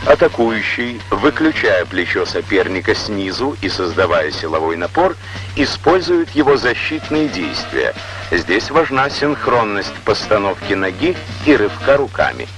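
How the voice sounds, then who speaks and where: A man reading scripted instructional narration in a formal, measured, didactic way, on an old Soviet educational film soundtrack. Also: on the low side, sing-song, over music, rough recording.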